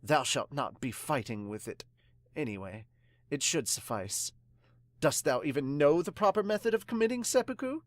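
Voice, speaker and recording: A woman's voice: low-pitched, expressive, normal speed, no room sound, clean studio sound. A woman voicing a haughty male magistrate with stern, pompous, archaic speech in a manga dub. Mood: stern, scornful.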